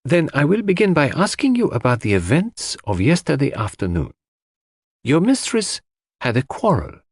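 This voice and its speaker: A man's voice: a little high, wildly swooping pitch, moderate pace, a little mellow, slightly rough, dry recording, clean studio sound, pitched above the performer's own voice, exaggerated voice. Audiobook sleuth, man acting: polite, formal, businesslike interrogator, persuasive, with widely moving intonation. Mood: earnest, persuasive. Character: courteous, businesslike, probing male detective.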